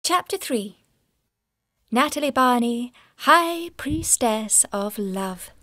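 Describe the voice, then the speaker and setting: An actress playing an irreverent, arch storyteller who narrates and comments in an audio drama. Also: female voice, fairly high pitch, animated, slow pace, sharp tone, no echo, full character voice. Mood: arch, amused. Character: wry, irreverent female storyteller commenting on a scandalous life.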